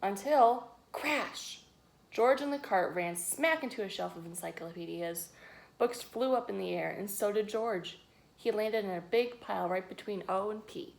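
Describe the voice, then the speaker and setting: A woman reading a picture book aloud to young children, expressively, pausing to ask them questions and add her own asides. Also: mid-range voice, gravelly, a little hiss or hum. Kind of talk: read-aloud storytelling with asides to young listeners.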